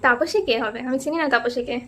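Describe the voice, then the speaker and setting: A woman's voice: a little high, quick pace, a bit bright. A woman chatting casually as a prank call host, teasing and insistent. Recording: clearly noisy background.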